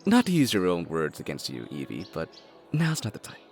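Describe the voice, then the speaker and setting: Audio drama role: sensible, wry adult brother, lowish and expressive, with music behind him. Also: male voice, heavily acted. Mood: calm, deflecting. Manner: measured, wry, reasoning. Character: level-headed, dorky adult brother from a wealthy family.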